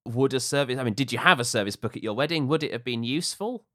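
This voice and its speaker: A man hosting a conversation, asking long questions and explaining in a measured way. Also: mid-range voice, animated, pushed and bright, no echo, studio quality. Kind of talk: conversational discussion.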